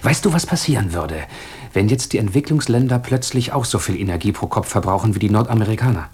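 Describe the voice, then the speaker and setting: A man's voice: lowish voice, animated, fast talker, a little mellow, slightly rough. A man playing a musing, teasing intellectual in an intimate radio drama dialogue, pensive and playful by turns. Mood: pensive, concerned.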